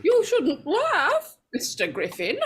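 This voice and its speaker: A woman playing a strange, fretful and possessive character, halting and pleading in melodramatic 1940s radio horror style. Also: very high-pitched, wildly swooping pitch, full character voice. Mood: timid reproach.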